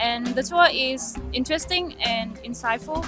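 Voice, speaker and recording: A woman's voice: high-pitched, fairly quick. A woman from Vietnam giving casual feedback as a visitor in an interview. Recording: clear music behind the voice.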